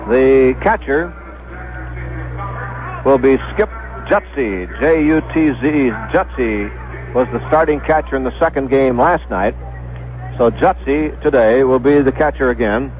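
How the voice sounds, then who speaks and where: An American man calling baseball on 1970s radio in a measured announcing manner, repeating names for clarity. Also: medium pitch, faint music behind. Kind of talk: 1970s radio sports commentary, pregame lineups. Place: stadium broadcast booth. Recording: dull lo-fi vintage radio broadcast.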